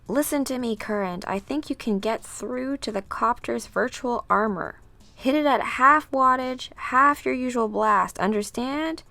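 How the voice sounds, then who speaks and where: A woman's voice: on the high side, unhurried, gravelly, pushed and bright, dry recording, pitched above the performer's own voice, full character voice. A woman voicing a scheming comic book villain who urgently orders henchmen around in a commanding manner.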